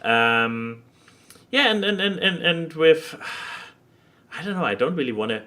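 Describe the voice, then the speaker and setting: A man co-hosting a podcast in casual chat, speaking haltingly and often repeating words as he finds his phrasing. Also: a little high, lively intonation, pushed and bright. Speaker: podcast co-host.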